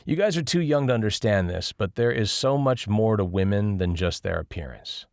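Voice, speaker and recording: A male narrator plays a middle-aged man in an audiobook, assertive and generous. His low voice is matter-of-fact as he talks to younger men, in a dry recording. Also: lively intonation, moderate pace, dry recording. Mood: worldly, advising. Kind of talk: audiobook character dialogue.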